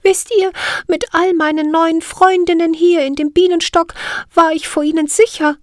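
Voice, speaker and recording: A woman reading a storybook voices a tiny, cheerful female fairy with a bright, bell-like voice. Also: very high-pitched, normal speed, dry recording, clean studio sound, voice pushed up. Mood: fearful. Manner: excited, later trembling.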